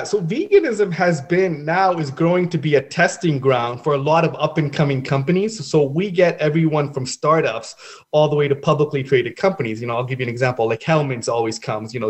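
A young adult man from Sri Lanka, a festival founder being interviewed, answering earnestly with many halting restarts. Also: a little high.

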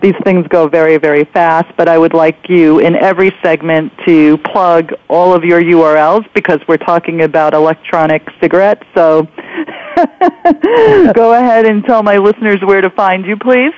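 A woman's voice: on the low side, moderate pace, dry recording. A woman hosting a radio talk show, speaking conversationally and emphatically, addressing the listener directly. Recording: slightly dull, lo-fi radio sound.

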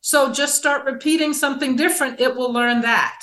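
A woman guest on a radio talk show, speaking emphatically and affirming often, sometimes in quick bursts. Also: sits high, steady tone, fairly bright tone. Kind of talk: casual conversation. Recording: echoey room sound.

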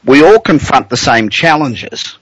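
A man's voice: mid-range voice, animated, a little slow, fairly bright tone, breathy edge, no echo, rough recording. Australian man hosting business talk radio. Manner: blunt, joking, chatty with fillers. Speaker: radio show host.

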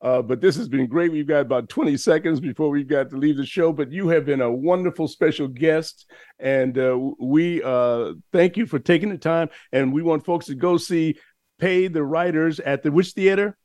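A man's voice: on the high side, moderate pace, darkish tone, no room sound. Friendly male talk show host, dry sound. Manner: friendly, wraps up with thanks. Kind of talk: radio interview hosting.

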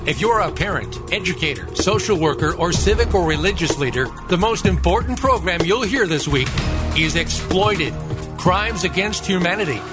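A man's voice: sits high, expressive, normal speed. A male announcer reading a scripted radio promo with urgent emphasis. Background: music.

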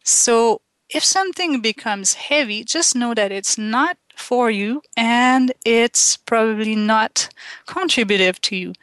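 A woman from Quebec with a Quebec French accent, French her first language, hosting a call-in radio show in a halting way, with many ums and restarts. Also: fairly high pitch, fairly bright tone, no room sound. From Quebec, Canada. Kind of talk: slow, hesitant call-in radio talk.

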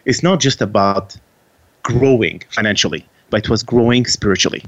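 A man's voice: lowish voice, moderate pace. Native French-speaking man with a Quebec accent, earnest interview guest, muffled lo-fi sound. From Quebec, Canada. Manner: earnest, with frequent restarts. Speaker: guest author. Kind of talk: interview answers.

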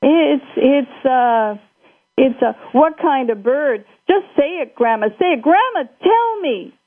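Woman voicing an excitable young boy, phone line. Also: a little high, slow pace, pitched above the performer's own voice. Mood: pleading. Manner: eager, breathless urgency.

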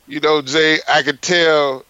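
A man's voice: a little high, steady tone, takes it slowly, pushed and bright, smooth, no room sound, muffled recording. A man in his fifties hosting a sports talk show, talking emphatically and repeating himself in casual conversation. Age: 50s. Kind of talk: casual radio interview.

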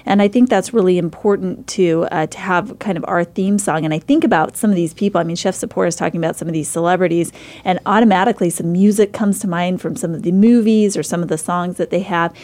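A woman's voice: fairly low pitch, fast talker, darkish tone. A woman hosting a radio interview, upbeat and encouraging with her guests. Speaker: radio show host.

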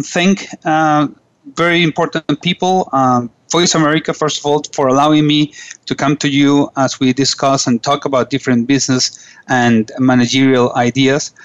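A man's voice: mid-range voice, no room sound, muffled recording. Earnest Venezuelan-born male radio host. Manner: earnest, formal, with frequent restarts. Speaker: radio show host and business coach. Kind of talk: scripted radio monologue. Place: radio studio.

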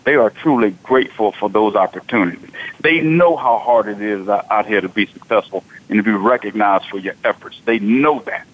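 A man's voice: fairly low pitch, a little fast. A man in his forties hosting a sports talk radio show, talking casually and animatedly, often repeating himself. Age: 40s. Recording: tinny phone-like radio audio.

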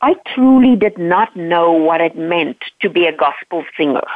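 A South African woman in her fifties, telling her life story as an interview guest, animated and earnest, often checking in with "right?". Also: on the low side, moderate pace, no echo. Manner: earnest, animated retelling with frequent "right?" asides. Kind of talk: personal storytelling in a podcast interview. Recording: dry, tinny phone-like line.

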